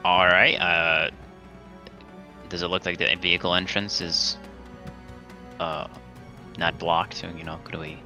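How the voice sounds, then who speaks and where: A man's voice: low-pitched, flat delivery, unhurried, long pauses, pushed and bright, soft background music. A man talking casually as a player in a tabletop roleplaying game.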